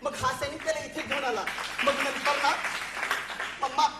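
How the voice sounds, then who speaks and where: A woman's voice: high voice, steady tone, moderate pace, over a noisy crowd, very noisy, lightly acted. A woman playing a naive, earnest young soldier son in a comic stage play, explaining things in a childlike, eager way. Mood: eager, chatty. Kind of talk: theatrical one-act stage comedy acting. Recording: echoey stage recording with faint music.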